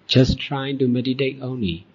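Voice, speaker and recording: A man teaching as a Buddhist monk, leading a guided meditation in deliberate short phrases with long pauses. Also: mid-range voice, a little mellow, breathy edge. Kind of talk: guided meditation dhamma talk. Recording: dull, muffled lo-fi recording.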